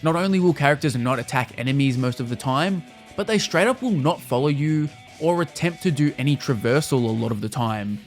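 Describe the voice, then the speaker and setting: A man's voice: mid-range voice, animated, brisk, few pauses, soft background music. A man narrating a scripted video game review in a casual, wry manner with the odd mild swear.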